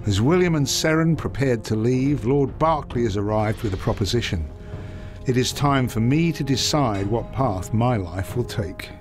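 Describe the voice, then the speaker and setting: An actor narrating as an ambitious knight in a video game, with a reflective, calculating manner. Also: male voice, mid-range voice, expressive, moderate pace, music in the background, lightly acted. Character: ambitious male knight narrating his choices.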